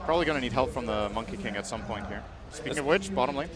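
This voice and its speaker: A man giving measured, analytical color commentary on an esports match. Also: medium pitch, lively intonation, crowd noise. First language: English. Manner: measured, explanatory.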